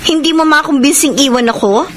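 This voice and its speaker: A woman's voice: high voice, a little fast, a little hiss or hum, lightly acted. A woman playing a brazen, taunting mistress with a flippant, mocking delivery in a radio drama. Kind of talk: Filipino radio drama acting.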